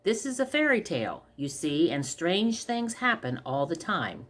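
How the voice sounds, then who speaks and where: A woman's voice: fairly low pitch, big pitch swings, measured pace, fairly bright tone, exaggerated voice. A woman voicing a dull, cheeky young man in a storybook fairy tale, blunt and wisecracking.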